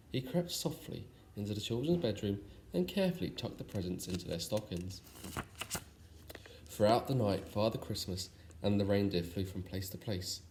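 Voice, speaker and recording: A man's voice: fairly low pitch, darkish tone. Man reading a children's story, noisy recording. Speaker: story reader.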